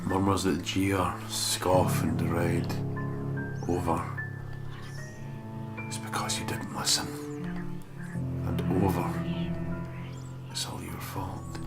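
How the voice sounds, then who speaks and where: A man reading horror game narration aloud in a dramatic, suspenseful, hushed way. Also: sits low, completely flat delivery, takes it slowly, pauses often. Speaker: let's play narrator. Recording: loud music behind the voice. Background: game music.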